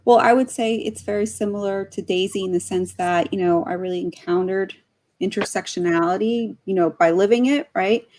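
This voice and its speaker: A woman's voice: mid-range voice, normal speed, darkish tone. A woman on a panel over a video call, speaking in a casual, conversational way and often saying "you know". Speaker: panelist, data analytics manager. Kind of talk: panel discussion. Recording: dry close sound.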